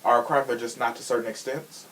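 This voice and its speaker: A man's voice: mid-range voice, steady tone, a little fast, fairly bright tone, rough recording. A man hosting a casual podcast, chatting in a sassy, theatrical way. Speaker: podcast host.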